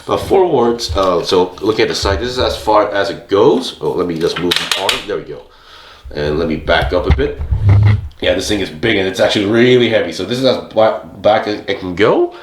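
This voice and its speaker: A man with a hoarse, fading voice chatting casually as he handles and reviews an action figure. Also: deep voice, expressive, moderate pace, echoey room, slight background noise. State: hoarse, losing his voice. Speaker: toy reviewer. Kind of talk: casual hands-on product review.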